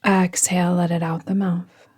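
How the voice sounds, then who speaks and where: A woman narrates a guided relaxation in a breathy, fairly low voice. Her delivery is gentle and even, with very little rise and fall. She is soothing and deliberate, often softening to a whisper. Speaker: yoga nidra guide.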